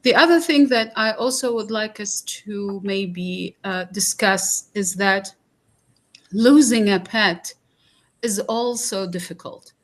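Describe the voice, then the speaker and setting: Slow, earnest woman from Iraq. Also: medium pitch. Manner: slow, earnest, with many fillers. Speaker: guest speaker. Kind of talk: reflective podcast talk.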